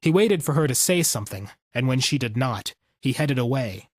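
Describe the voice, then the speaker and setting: A man's voice: mid-range voice, expressive, moderate pace, no room sound, clean studio sound. A man reading scripted fiction as an audiobook narrator.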